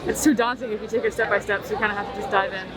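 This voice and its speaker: A woman's voice: mid-range voice, fairly even intonation, very fast. A woman from New Jersey answering casually with hedges. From Northern New Jersey. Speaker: passerby. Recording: loud street crowd noise.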